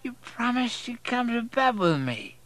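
Audiobook drunk: male, slurring and drawling, emotional, pitched well up. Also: sing-song, a little slow, fairly bright tone, exaggerated voice. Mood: drowsy, tender.

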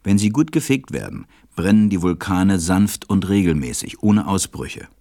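A man playing a gentle, reflective storyteller, narrating a storybook radio play in a measured way. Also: deep voice, very animated.

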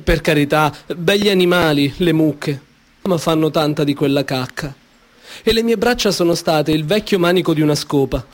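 Gentle male storyteller, slightly high. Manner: gentle, measured and expressive. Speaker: author reading his story. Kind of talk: storybook narration.

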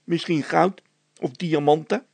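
A man voicing an excitable, doubtful workman in a storybook, exclaiming and grumbling. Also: fairly high pitch, measured pace, vocal fry, dry recording. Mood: excited, bossy.

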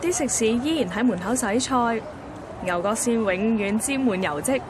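An actress plays a young woman with a Hong Kong Cantonese accent and a fairly high voice. She tells her story in a wistful, colloquial, inward-looking way, as in a radio drama. Clear street noise runs behind her. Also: animated, normal speed, close to an everyday voice. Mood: nostalgic. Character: wistful young woman photographer, sentimental and timid. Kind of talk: radio drama first-person narration.

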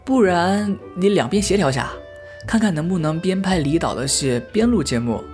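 A man's voice: high-pitched, expressive, moderate pace, a little mellow, soft background music. An actor playing an eager, playful young male film star known for bad acting, in an audio drama. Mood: playful, insistent. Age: young adult.

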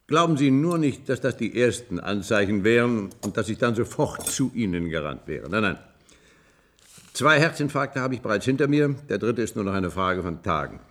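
An actor playing a proud, bitter, ailing old ex-surgeon in a radio play, earnest and stubborn, hesitant then insistent. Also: male voice, lowish voice, big pitch swings, moderate pace. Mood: stubborn, grave. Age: older. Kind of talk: German science-fiction radio play acting.

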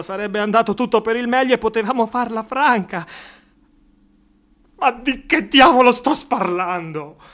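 A man's voice: high voice, a bit bright. An actor playing a worried, protective male friend in an Italian radio drama, earnest, insistent and theatrically emphatic. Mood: worried musing. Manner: theatrical, drawn-out emphatic words, urgent.

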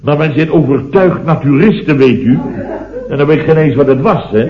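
A man's voice: on the high side, expressive, a little fast, a crowd in the background, natural voice. An actor playing an exasperated building contractor boss in his fifties in a vintage radio comedy, telling his woes with indignation. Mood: amused indignation. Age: 50s. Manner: indignant, long-suffering storyteller. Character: blustering, exasperated fifty-year-old building contractor boss. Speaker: comic lead in a radio serial. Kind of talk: 1970s Dutch radio comedy acting. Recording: dull, roomy vintage radio recording.

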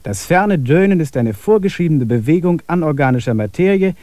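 A man's voice: on the high side, lively intonation, a little fast, warm tone, no echo. A man playing a skeptical, rational camper in a radio play dialogue.

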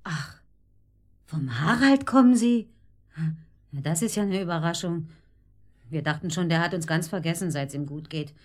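An actress playing a chatty, hospitable neighbour in a radio drama, insistent, colloquial and bustling. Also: female voice, on the low side, expressive, moderate pace, pauses often. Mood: surprised, welcoming. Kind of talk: naturalistic German radio drama.

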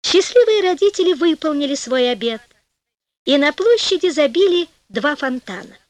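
A woman narrating a fairy tale audiobook with expressive, deliberate phrasing. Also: high voice, dry recording.

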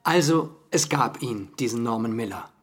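An actor playing an earnest, insistent investigative journalist in a radio drama interview scene. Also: male voice, mid-range voice, animated, normal speed, husky, faint music behind.

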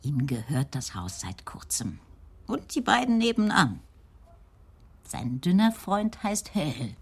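Husky, creaky, frightened old woman. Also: sits low, sing-song, heavily acted. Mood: anxious, hushed. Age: older. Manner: halting, simple, rambling sentences. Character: frightened, frail, lonely old Dutch widow, chattering about neighbours. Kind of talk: radio crime drama acting.

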